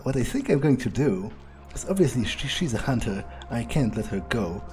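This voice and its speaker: Sardonic man with a dark, mellow voice. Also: mid-range voice, sing-song, normal speed, faint music behind. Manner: sardonic, amused, swearing, hesitant phrasing. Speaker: gamer playing in character. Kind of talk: in-character roleplay commentary, thinking aloud.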